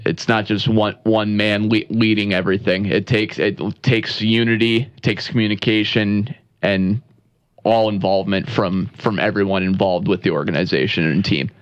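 A man working as a play-by-play broadcaster, speaking in a casual radio interview. He talks in a halting way, repeats phrases and laughs easily. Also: lowish voice, fairly even intonation, clear, resonant tone, dry recording. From Upper Midwest, United States. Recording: dull lo-fi radio studio audio.

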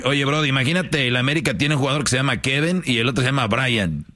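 A man with a Mexican Spanish accent co-hosting a rowdy radio show, talking in brisk slang and egging the others on. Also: medium pitch, pushed and bright, a little hiss or hum. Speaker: radio co-host. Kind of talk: rowdy call-in radio banter.

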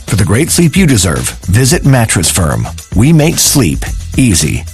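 Guy doing a radio ad, smooth salesy read, lively pitch, soft music underneath. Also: unhurried. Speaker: ad announcer. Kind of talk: scripted commercial read.

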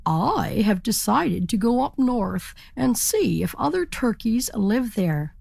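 Woman voicing an earnest, drawn-out proud turkey. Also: fairly high pitch, sing-song, gravelly, a little mellow, dry recording, exaggerated voice. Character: magnificent male turkey, proud adventurer, slow and earnest.

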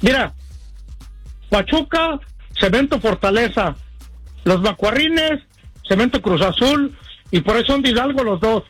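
High-pitched, halting Mexican man calling in. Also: expressive, a bit bright, breathy edge, no echo, faint music behind, poor audio. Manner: halting, repeats words. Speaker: radio show caller. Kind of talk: casual chat.

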